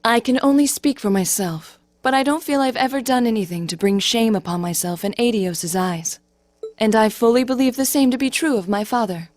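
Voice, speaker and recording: A proud, forthright young swordswoman of noble birth, acted by a woman. Her voice is fairly high and formally composed, recorded dry over faint game music. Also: brisk, darkish tone, no room sound, close to an everyday voice. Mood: proud, firm. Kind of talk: video game voice acting.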